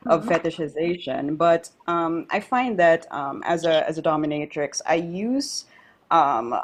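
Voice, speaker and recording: A woman with a somewhat low voice, speaking thoughtfully with frequent ums and restarts. She sounds deliberate, building her point step by step. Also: lively intonation. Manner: hesitant, many ums, deliberate. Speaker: dominatrix panelist. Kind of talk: casual panel discussion.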